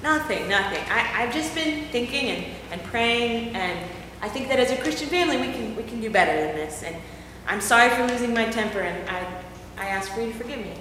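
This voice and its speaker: A woman's voice: fairly high pitch, lively intonation, fairly quick, a bit bright, slight background noise. A woman playing an overworked housewife in a church skit, talking emotionally and swinging between scolding and pleading. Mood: apologetic. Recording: echoey hall from far off.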